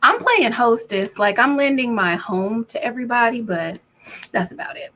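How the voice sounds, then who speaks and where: A woman with a raspy voice co-hosting a casual live spiritual chat, relaxed and chatty. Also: fairly high pitch, normal speed. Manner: relaxed, chatty, often laughing.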